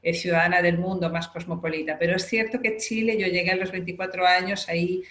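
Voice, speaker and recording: A woman's voice: on the low side, steady tone, a little fast, fairly bright tone, echoey room. A middle-aged woman from Spain, a political scientist explaining her views fluently as an interview guest. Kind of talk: radio interview.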